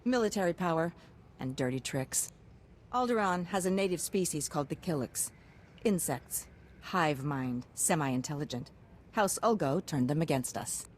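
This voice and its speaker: A woman's voice: deep voice, lively intonation, moderate pace, a little hiss or hum. A woman playing a pragmatic, hard-nosed military science commander in a video game, clipped and businesslike. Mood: grim, briefing. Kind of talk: video game character acting.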